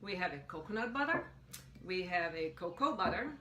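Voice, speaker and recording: A woman's voice: lowish voice, very animated, a little fast. Middle-aged Dutch-accented woman in an echoey room. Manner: easygoing, chatty, with fillers. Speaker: guest. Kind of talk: casual chat. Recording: echoey room with faint noise.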